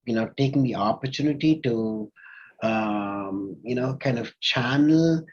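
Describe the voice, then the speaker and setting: Husky man from India, rambling. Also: medium pitch, measured pace, lo-fi sound. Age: 40s. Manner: rambling, many fillers and restarts. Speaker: company CEO guest. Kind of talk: casual interview talk.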